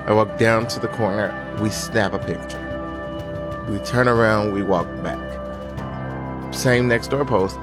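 A man's voice: on the low side, a little slow, long pauses. A man from Nashville telling his own story in the first person for a radio documentary. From Nashville, United States. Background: music bed.

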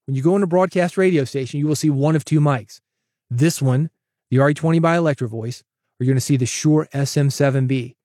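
Man, slightly high voice, energetic coaching podcast host, dry close broadcast mic. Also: fast, dry recording. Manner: energetic, coaching, direct address. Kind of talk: solo podcast advice with sponsor read. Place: home studio, processed dry voice. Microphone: studio broadcast dynamic mic.

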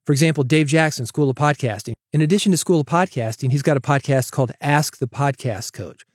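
A man's voice: a little high, fairly quick, slightly rough, no room sound, studio quality. A man hosting his own solo podcast, talking casually and coaching listeners, often calling them "man". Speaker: podcast host.

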